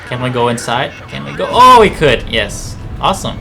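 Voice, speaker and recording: A man's voice: mid-range voice, big pitch swings, normal speed, creaky, pushed and bright. Man casually commentating over game music. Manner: rambling, explanatory, conversational asides. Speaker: gameplay commentator, channel host.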